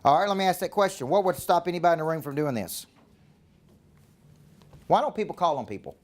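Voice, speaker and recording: A fairly high-voiced man with a Southern American accent coaches a live event crowd. He talks in short, punchy bursts and keeps checking in with the room. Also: lively intonation, fast talker. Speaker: business coach. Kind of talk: live seminar talk to a room.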